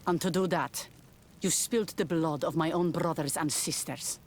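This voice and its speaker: A woman's voice: low voice, normal speed, a little hiss or hum, natural voice. Stern, commanding clan chief voiced by a woman. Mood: stern, accusing. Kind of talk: video game dialogue.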